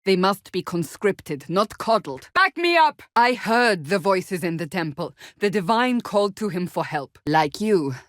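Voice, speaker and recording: An actress voicing a stern, devout warrior woman in a video game, with formal, deliberate and blunt, commanding delivery. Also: medium pitch, sing-song, no room sound, clean studio sound. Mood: urgent battle shout. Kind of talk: video game voice acting, dramatic dialogue.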